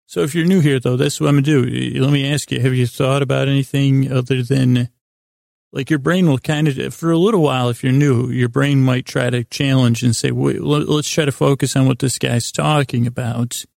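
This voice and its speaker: A man hosting a podcast, delivering a meandering, improvised bedtime monologue in a halting way, repeating himself and trailing off mid-thought. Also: mid-range voice, fairly even intonation, darkish tone, no echo, clean studio sound. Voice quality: gravelly, creaky voice. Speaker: podcast host.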